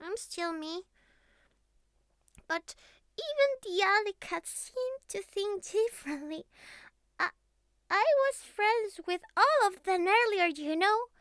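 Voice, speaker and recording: A woman's voice: very high-pitched, animated, takes it slowly, pauses often, a bit bright, no echo, studio quality, heavily acted. A woman voicing a young catgirl who was once a house cat, naive, clingy and earnest, with a childlike, hesitant, pleading way of talking in an intimate ASMR roleplay. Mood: hurt, confused. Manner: childlike, hesitant, pleading, slightly formal phrasing.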